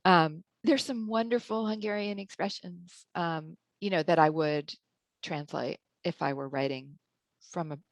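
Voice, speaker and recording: Low-voiced thoughtful woman editor. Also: unhurried, no echo. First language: English. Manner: thoughtful, laughs often, frequent ums and restarts. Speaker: book editor and writing teacher. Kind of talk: conversational interview answers.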